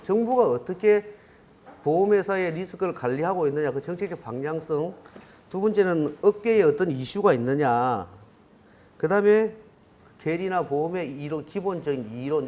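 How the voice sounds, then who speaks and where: A man's voice: on the high side, a little mellow. A man in his fifties with a regional Korean dialect, giving a classroom lecture in a rambling, self-correcting way with many fillers. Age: 50s.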